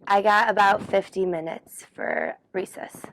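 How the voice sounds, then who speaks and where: A woman's voice: medium pitch, huge pitch swings, unhurried, fairly bright tone, slight background noise, rough recording. A young woman from Illinois, a university student, answering briefly and casually. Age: young adult.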